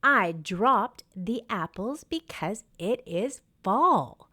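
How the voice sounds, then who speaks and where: A woman's voice: mid-range voice, expressive, very slow, pushed and bright, exaggerated voice. A woman voicing an exasperated, proud female autumn wind in a storybook, emphatic and theatrical. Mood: scornful. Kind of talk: children's picture book read-aloud.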